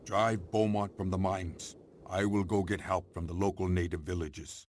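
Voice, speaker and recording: An actor playing a wise, grave older frontier hero in a video game, speaking in a measured, solemn way. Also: male voice, low voice, flat delivery, a little hiss or hum, lightly acted. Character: grave, wise old great-uncle, seasoned frontier hero. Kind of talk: video game cutscene acting.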